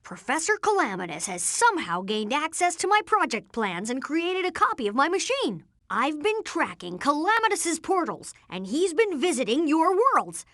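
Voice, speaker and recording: A woman voicing an earnest, brainy boy inventor who leads the team, speaking in precise, technical terms in an animated video game. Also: sits high, normal speed, few pauses, no echo, clean studio sound, heavily acted. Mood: explaining, confident. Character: boy genius inventor, earnest, brainy, take-charge young leader.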